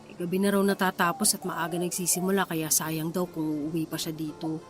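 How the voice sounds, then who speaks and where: A woman's voice: lowish voice, flat delivery, fairly quick, over music, close to an everyday voice. An actress playing an anxious, suspicious pregnant wife who downplays her worries in a Filipino radio drama, with a casual, emotional delivery. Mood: hesitant, casual. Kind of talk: Tagalog radio drama acting.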